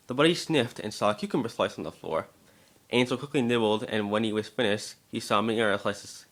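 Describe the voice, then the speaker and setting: A man's voice: lowish voice, a bit bright. A man narrating a story as an amateur dramatic reader, occasionally stumbling over the text.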